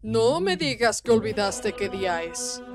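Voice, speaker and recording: A woman's voice: on the high side, sing-song, a little slow, faint music behind. A woman playing a scornful, imperious demon queen. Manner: contemptuous, commanding. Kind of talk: animated comedy fan dub.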